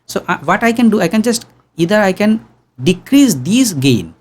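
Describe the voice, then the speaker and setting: A young man teaching sound engineering in an online workshop, talking casually and humming low tones to demonstrate, close to a studio mic in a small room. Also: sing-song, moderate pace. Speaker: audio engineering instructor. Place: small room with green backdrop. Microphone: studio condenser mic on boom arm.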